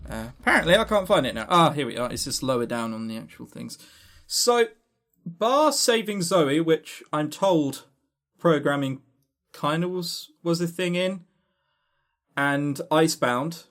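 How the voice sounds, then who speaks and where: Male podcast co-host: highish voice, animated swings in pitch, chatty, rambling and wryly sarcastic. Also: measured pace. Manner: rambling, sarcastic, dry humour; swears casually. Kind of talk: casual chat running through award lists.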